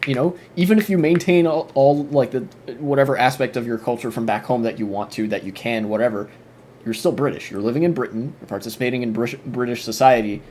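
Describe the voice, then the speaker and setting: A man's voice: mid-range voice, fast talker, a little mellow, slight background noise. A man commenting casually on a debate clip as a streamer, rambling with many fillers and restarts.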